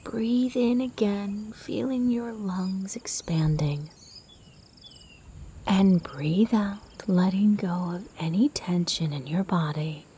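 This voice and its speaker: A woman guiding a sleep meditation in a soft, breathy, half-whispered voice, soothing and gentle in her delivery. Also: mid-range voice, takes it slowly, a little hiss or hum. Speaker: meditation guide. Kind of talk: guided sleep meditation narration.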